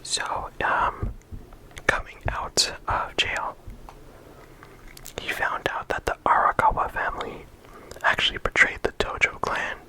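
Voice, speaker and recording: An ASMR creator whispering softly throughout, talking casually with frequent ums and likes into a close microphone. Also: a little slow, pauses often, rough recording. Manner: soft unvoiced whisper throughout. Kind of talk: whispered ASMR game discussion.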